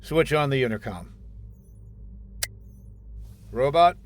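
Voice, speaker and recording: Guy as stern army colonel, cold and formal, mid-pitched, old radio drama acting. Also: expressive, takes it slowly, soft background music. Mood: commanding. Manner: formal, authoritative, precise.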